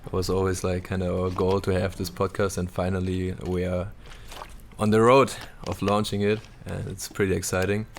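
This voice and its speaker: Deep, gravelly, flat-toned young man. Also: moderate pace, a little hiss or hum. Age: 20s. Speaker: podcast co-host. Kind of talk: casual chat.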